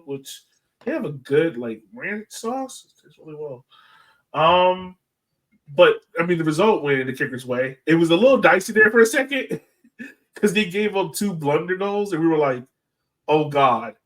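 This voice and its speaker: A man's voice: on the high side, fairly bright tone, poor audio. A man hosting a sports podcast in a casual, chatty style.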